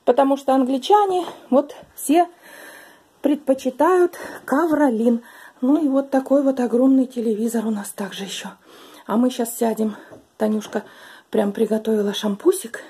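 A woman's voice: high-pitched, steady tone, moderate pace, warm tone, husky. A middle-aged woman hosting a casual, chatty interview at a friend's home, with an affectionate way of talking, recorded on a camera across the table. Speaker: vlogger host. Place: small living room at home.

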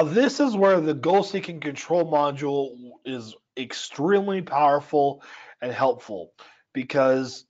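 A man with a dry, scratchy voice, explaining a software tool step by step in a casual, filler-filled way. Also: a little high, lo-fi sound. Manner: explanatory, conversational, with frequent fillers. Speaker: software trainer. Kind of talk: screen-share software tutorial. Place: home or office at altitude.

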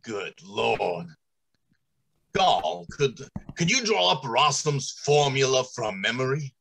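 Guy acting a grave radio drama boss, slow anguished delivery, big swings in pitch. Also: very animated, creaky, fairly bright tone, breathy edge, exaggerated voice. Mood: stunned. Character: anguished male factory director, grave and formal. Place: indoors, on a video call.

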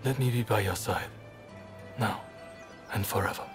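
A man playing an earnest, soft-hearted samurai leader in a video game, speaking in measured, polite, formal phrases. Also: lowish voice, music in the background, lightly acted. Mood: tender. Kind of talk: video game cutscene acting. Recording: game audio with clear background ambience.

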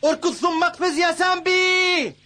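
A man voicing a steady young male friend in a cartoon dub, calm and coaxing. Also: very high-pitched, normal speed, noisy background.

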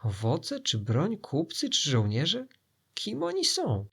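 A man's voice: a little high, wildly swooping pitch, takes it slowly, creaky, fairly bright tone, slightly rough, voice pushed up, exaggerated voice. A man voicing a puzzled, easily flustered stowaway who talks to himself in a children's adventure story.

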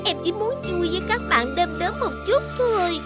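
A woman voicing a tiny, playful younger-sister fairy with a girlish manner in an animated fairy tale. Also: very high voice, moderate pace. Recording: music behind the voice.

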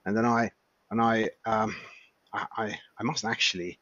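Hesitant Hungarian-accented man, low voice. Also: fairly even intonation, normal speed, fairly bright tone, no room sound, dull audio. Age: 50s. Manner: hesitant, many restarts and fillers. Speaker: emergency physician guest. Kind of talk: casual interview chat.

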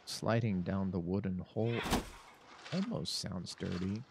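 A man's voice: low-pitched, unhurried, vocal fry, noisy background. A man in his thirties giving rambling, self-mocking commentary while streaming a horror game from his home setup. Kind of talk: casual gameplay commentary.

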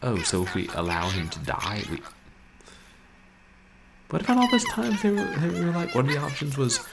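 A man's voice: medium pitch, very animated, normal speed, vocal fry, crowd noise. A man in his thirties giving casual live game commentary as a streamer from a small room.

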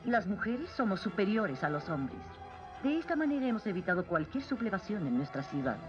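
A woman's voice: mid-range voice, big pitch swings, fairly quick, close to an everyday voice. A woman playing a proud, cold cartoon queen, regal and formal, with a Latin American Spanish accent. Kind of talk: dubbed animated series acting. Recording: dull dub audio with music.

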